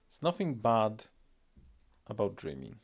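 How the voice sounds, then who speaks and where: A man's voice: mid-range voice, animated, measured pace, creaky. A man with a Polish accent, a native Polish speaker, streaming casually and rambling with many fillers and self-deprecating remarks. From Poland. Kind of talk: casual live-stream commentary.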